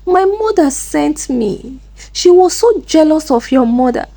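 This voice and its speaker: A woman's voice: high-pitched, big pitch swings, unhurried, darkish tone, voice pushed up, heavily acted. A woman voicing a guilty, frightened young house girl in a folktale, pleading and dramatic. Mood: frantic confession.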